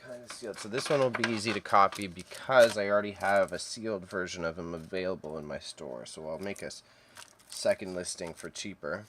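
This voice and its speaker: A man with a fairly low voice talks casually to himself on a livestream. He speaks in short, offhand phrases and reads item details out loud as he works. There is clear background noise, with typing and clicking. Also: brisk. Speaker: streamer, antique reseller. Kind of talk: casual livestream work narration, muttering to self. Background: typing and computer clicks.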